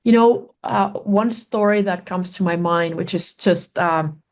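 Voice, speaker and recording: Low-voiced woman, thoughtful. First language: Finnish. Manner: thoughtful, searching for words with many pauses and fillers. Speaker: guest researcher and author. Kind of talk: reflective conversational storytelling.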